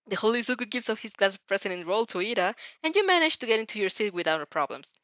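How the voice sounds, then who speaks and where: Woman, expressive story read-aloud, informal casual reactions, occasional stumbles over sentences, dry close sound, slightly dull recording. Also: medium pitch, fast, dry recording. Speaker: fan fiction reader reacting as she reads.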